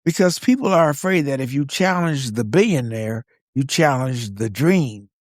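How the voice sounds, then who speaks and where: A man's voice: medium pitch, expressive, normal speed, darkish tone, no room sound, studio quality. A man co-hosting a podcast, giving scripted, conversational commentary in short, wry statements. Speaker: podcast co-host.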